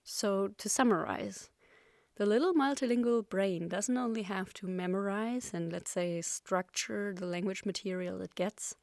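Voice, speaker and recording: A woman's voice: mid-range voice, darkish tone, dry recording. Woman narrating a podcast, dry sound. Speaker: podcast host. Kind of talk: scripted narration.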